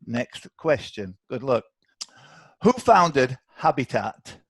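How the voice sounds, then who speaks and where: A man's voice: mid-range voice, sing-song, slow, breathy edge, no room sound. A man hosting a live online quiz, chatty and encouraging as he reads questions aloud. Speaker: quiz host. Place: online video call.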